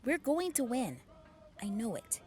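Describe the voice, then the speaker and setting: A woman's voice: fairly high pitch, big pitch swings, measured pace, a little mellow, soft background music. A woman playing a confident, flirty army officer in an audio drama, teasing and reassuring. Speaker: lieutenant colonel.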